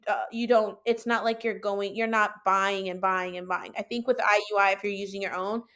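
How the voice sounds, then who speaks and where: A woman with a high-pitched voice telling a personal story in casual, animated conversation, acting out her own reactions as she goes. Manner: animated, colloquial, acts out own quoted reactions. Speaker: podcast guest telling own experience.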